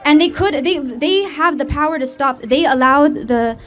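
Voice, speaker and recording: A woman's voice: sits high, a bit bright. Young woman arguing back, stumbling. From United States. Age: young adult. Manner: defensive, hurried, stumbling. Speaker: college student. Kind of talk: campus debate.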